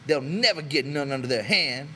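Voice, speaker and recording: Man acting a bitter American stable hand, raised pitch. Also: animated, normal speed, a little hiss or hum. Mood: scornful. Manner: scornful, brutal, forceful rural American talk. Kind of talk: dramatic audiobook character voice.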